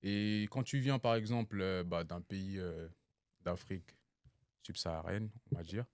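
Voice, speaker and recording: Young guy from cameroon, chill slangy chat, gets animated telling stories, dry close sound. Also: sits low, a little slow, no echo. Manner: animated storyteller, laughs, street slang. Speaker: guest. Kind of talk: casual chat.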